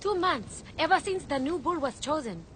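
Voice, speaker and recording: An actress playing a dutiful, devout young temple priestess in a video game, speaking in a plain, orderly way. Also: female voice, sits high, animated, normal speed, a bit bright, slight background noise. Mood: dutiful, calm.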